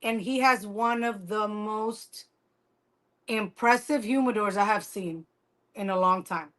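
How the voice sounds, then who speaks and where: A woman's voice: mid-range voice, a little slow, a bit bright. A woman with a New York accent chatting casually on a podcast, frank and laughing. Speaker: podcast guest. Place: San Diego.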